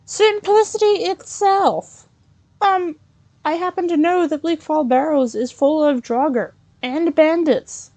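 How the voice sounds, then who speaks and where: A woman improvising a polite, curious scholar adventurer in a video game roleplay, with a hesitant, halting delivery. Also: high voice, warm tone, no echo. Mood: curious, surprised.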